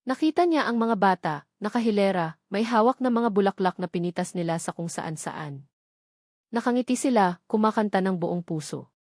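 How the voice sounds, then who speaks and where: A woman's voice: medium pitch, darkish tone, dry recording, clean studio sound. A woman with a Filipino accent reading a scripted romantic story as an audiobook narrator.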